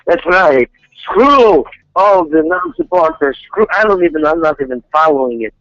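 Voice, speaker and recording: A man's voice: on the high side, lively intonation, normal speed, a bit bright, no echo. A man voicing a boastful, rambling male Russian composer with a Russian accent and a crude, swearing manner, heard over a dull phone line. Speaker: radio show guest.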